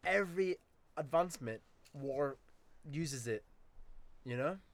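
A man's voice: a little high, lively intonation, takes it slowly, no echo. A young man from Iran in casual chat, enthusiastic and agreeable.

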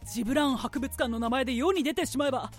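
High, imperious merchant boss played by a woman. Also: fast talker, faint music behind, lightly acted. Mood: scheming. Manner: imperious, blunt, masculine phrasing. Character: brash, proud, scheming head of a trading company. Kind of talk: radio drama acting.

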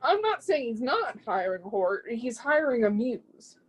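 A woman's voice: a little high, sing-song, unhurried, breathy edge, lo-fi sound, exaggerated voice. A woman playing a proud, zealous would-be artist's muse in a play reading, speaking with lofty, scornful emphasis.

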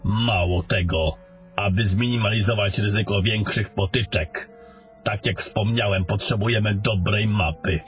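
Guy doing old wizard mentor for a fantasy audio play, low pitched, grumpy n sardonic, bit of music under it. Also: a bit bright. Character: old haughty sardonic male wizard, gruff mentor to a soldier. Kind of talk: fantasy audio drama acting.

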